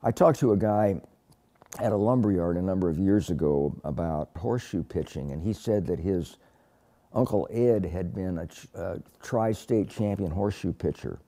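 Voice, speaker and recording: A man in his seventies, a poet, telling stories in a reflective, unhurried way in a documentary interview. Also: low voice, warm tone. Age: 70s. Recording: music playing under the voice. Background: guitar music.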